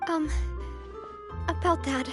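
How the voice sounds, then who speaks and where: Casting: sincere young female boss, poised, high voice, for a video game story. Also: fairly even intonation, slow pace, music in the background. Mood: sorrowful.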